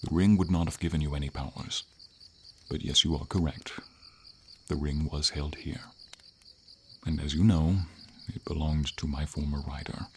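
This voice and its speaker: A man voicing a gentle, wise dragon in a fantasy ASMR roleplay, speaking formally in slow, measured phrases with thoughtful pauses. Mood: calm, explanatory. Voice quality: very deep, gravelly. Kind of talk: ASMR fantasy roleplay, scripted character acting. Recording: steady hiss of rain and insects behind. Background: light rain and night insects.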